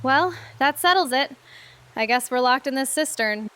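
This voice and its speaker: A woman playing a plucky amateur sleuth in an audio drama, with halting, wry banter and forced optimism. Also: sits high, lively intonation, bright, forceful tone, no room sound. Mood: wry, uneasy. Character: plucky female amateur sleuth, jokey and reassuring in danger.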